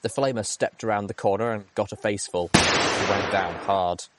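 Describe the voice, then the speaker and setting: A man's voice: fairly low pitch, steady tone, moderate pace, very noisy. A man reading a first-person adventure story aloud as dramatic narration. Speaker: audiobook reader.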